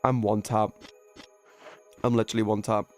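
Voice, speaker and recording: A man's voice: fairly low pitch, level tone, normal speed, faint music behind. A man live streaming a video game with casual, slangy commentary full of swearing.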